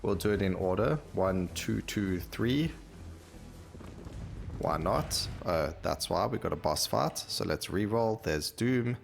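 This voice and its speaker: A man's voice: deep voice, lively intonation, slow pace, vocal fry. A man giving casual gameplay commentary, rambling and thinking aloud as he plays. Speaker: gaming video host. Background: game music.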